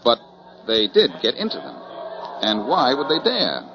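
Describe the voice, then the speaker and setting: A man's voice: medium pitch, sing-song, unhurried. A self-assured detective from a 1940s radio mystery. He reasons aloud with swooping, theatrical intonation and a mid-range voice. The sound is dull and lo-fi. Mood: explaining, confident. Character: shrewd, self-assured male amateur detective.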